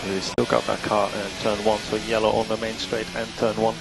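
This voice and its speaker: A man working as a race engineer, giving measured, matter-of-fact instructions over team radio and repeating the key ones. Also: on the low side, level tone, gravelly, over loud music, low-quality recording. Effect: team radio transmission. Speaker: Formula One race engineer. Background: vehicle and engine noise.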